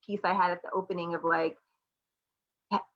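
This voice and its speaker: A woman's voice: on the low side, flat delivery, normal speed, dull audio. A woman from the United States talking candidly and conversationally as an educator and panelist in a reflective discussion. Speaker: artist, educator and student panelist.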